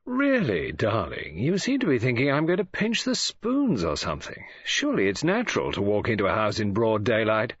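A man's voice: fairly low pitch, wildly swooping pitch, moderate pace, hardly any pauses, husky, no room sound, muffled recording, exaggerated voice. A man voicing a flippant, insolent young dandy with an airy, teasing drawl, in an audiobook. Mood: mock offended.

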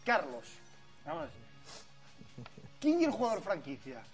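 A man's voice: high-pitched, wildly swooping pitch, measured pace, creaky, soft background music. A man, hosting a comedy TV sketch with laughing, teasing banter. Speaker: sketch show host. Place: TV studio set.

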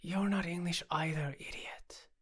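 A man's voice: a little high, normal speed, natural voice. A man voicing a weary, sardonic character in an audiobook, with dry and exasperated delivery.

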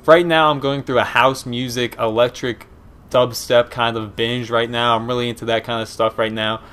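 A man's voice: medium pitch, little pitch movement, moderate pace, fairly bright tone, clear, resonant tone. Easygoing young man with a Pennsylvania accent. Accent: Philadelphia and Eastern Pennsylvania. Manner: rambling, easygoing, many fillers. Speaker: gaming commentator. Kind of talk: casual Q and A over gameplay. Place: small room at home, indoors.

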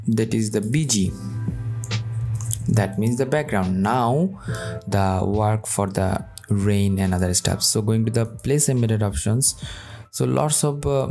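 Man, tutorial host, slow unhurried talk, gravelly low tone, faint music underneath. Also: warm tone. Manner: slow, thinking aloud while working, non-native phrasing. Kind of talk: screen-recorded software tutorial.

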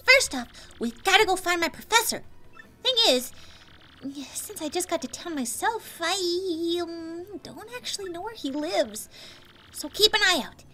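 A very high, lively game character: a young Goomba student with plenty of sass. She exclaims in valley-girl slang and swings up and down excitedly. Light music plays behind her. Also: a little slow, exaggerated voice. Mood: helpful. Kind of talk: video game dialogue voice acting.

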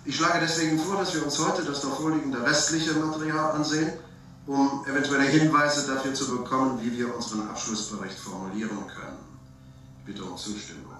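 Man acting a pompous senator, sharp bright voice. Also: mid-range voice, fairly even intonation, natural voice. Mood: smooth, persuasive. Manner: pompous, measured officialese with euphemisms. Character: smooth, evasive senator chairing a secret imperial commission. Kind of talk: German science fiction radio drama acting. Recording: roomy, distant binaural recording over music.

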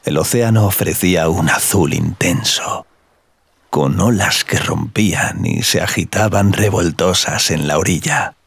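A man narrating scripted audio fiction in a slow, sensual storytelling manner. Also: low voice, gravelly, fairly bright tone, breathy edge, no echo.

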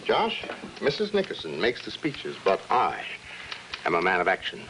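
A man's voice: on the low side, wildly swooping pitch, moderate pace, background noise. An actor playing a genial, self-assured middle-aged American suburban father with jovial, theatrical pronouncements in a 1950s film style. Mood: pompous, commanding. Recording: 1950s film soundtrack with music behind.

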